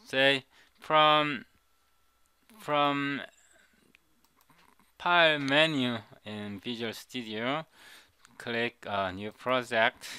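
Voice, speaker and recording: A man's voice: medium pitch, sharp tone, clean tone, no echo. A middle-aged man, a native Korean speaker, gives a coding tutorial over a screen recording, often repeating and restarting his words. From South Korea. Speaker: programming tutor.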